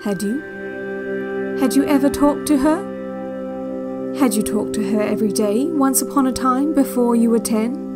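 An actress playing a calm, eerily watchful guide on a relaxation cassette, speaking in measured, soothing, deliberate instructions. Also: female voice, on the high side, over loud music, close to an everyday voice. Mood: quietly ominous. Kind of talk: slow hypnotic relaxation-tape narration in a fiction podcast.

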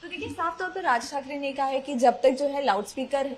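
A woman's voice: sits high, a little fast, darkish tone. A young woman interviewing as a television news reporter. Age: young adult. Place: small indoor office. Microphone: handheld reporter's microphone.

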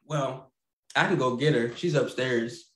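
A man voicing a grumpy old married man puppet, gruff and reluctant, over a video call from an echoey room. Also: mid-range voice, measured pace, pitched below the performer's own voice, close to an everyday voice. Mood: grumbly. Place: home living room.

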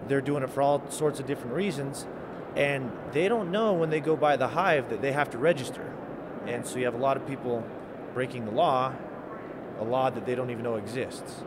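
A middle-aged man explains a bill at mid pitch, earnest and halting, with plenty of uhs and restarts. He speaks into a handheld interview mic in a big domed rotunda, and loud hall noise runs under every word. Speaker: state legislator. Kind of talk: on-camera interview. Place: large domed capitol rotunda, indoors. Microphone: handheld mic held by interviewer.

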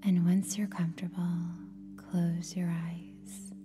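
Soft, breathy woman guiding a slow meditation. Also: on the low side, level tone. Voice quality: soft, breathy, near-whispered. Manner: soothing, gentle, long pauses between phrases. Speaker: meditation guide. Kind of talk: guided meditation, scripted narration. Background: meditation music with singing bowl tones.

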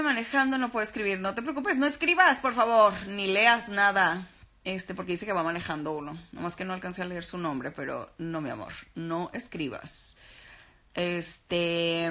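Chatty Mexican woman streaming from bed. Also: on the low side. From Sinaloa, Mexico. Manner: relaxed, chatty, calls viewers "mi amor" and "baby". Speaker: actress and TV host doing a live stream. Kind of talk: casual live-stream chat reading fan comments. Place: lying in bed in a bedroom, indoors. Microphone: phone held at arm's length.

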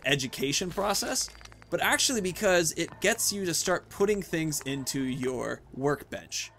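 Casual man doing game commentary, slightly high voice. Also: vocal fry, fairly bright tone, slight background noise. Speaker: video game streamer, host.

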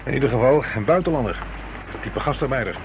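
An actor playing a brisk police detective in a radio drama, reporting in a matter-of-fact, colloquial way. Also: male voice, medium pitch, animated, lightly acted. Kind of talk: Dutch radio drama dialogue. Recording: noisy old radio broadcast recording.

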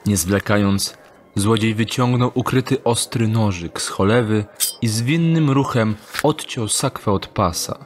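A man narrating a scripted dark fantasy audio drama in a measured, deliberate storytelling manner. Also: on the low side, a little hiss or hum.

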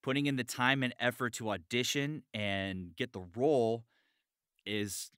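A man's voice: medium pitch, a little slow, a bit bright, clear, resonant tone, dry recording, clean studio sound. A man talking in a casual podcast chat, earnest and emphatic, repeating key phrases. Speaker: voice actor, podcast guest.

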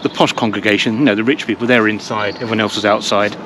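A man's voice: on the low side, steady tone, fast, background noise. A man in his fifties giving an enthusiastic, rambling walking commentary while touring old churches, filmed with a handheld camera at arm's length. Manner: enthusiastic, rambling, softly spoken asides. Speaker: vlogger touring historic churches. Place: inside old stone chapels and churches. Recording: echoey church interior, long reverb.

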